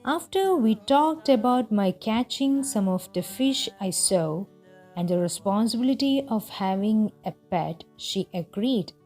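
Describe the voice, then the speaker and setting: Measured woman reading a story aloud. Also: medium pitch, lively intonation, dark tone, faint music behind. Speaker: narrator of English learning read-aloud lessons. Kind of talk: scripted storybook read-aloud for language learners.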